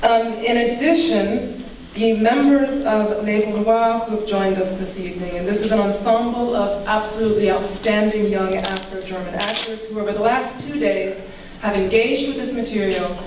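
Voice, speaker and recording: A woman's voice: mid-range voice, steady tone, normal speed, background noise. Woman introducing a play, very echoey hall. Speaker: playwright introducing a staged reading. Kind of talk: prepared stage introduction. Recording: very echoey hall, distant mic.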